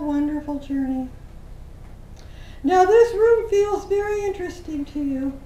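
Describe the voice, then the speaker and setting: A woman, as a channeling medium, voicing a gentle, upbeat spirit guide who leads a soothing guided meditation for a group in a meeting room. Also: extremely high pitch, slight background noise, voice pushed up. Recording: roomy room sound from a distant mic.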